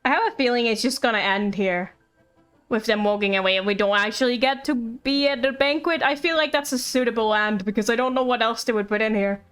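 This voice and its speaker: A woman's voice: fairly high pitch, a little fast, a bit bright, clean tone. A woman streaming a video game, chatting casually with playful asides and reading game text aloud over music.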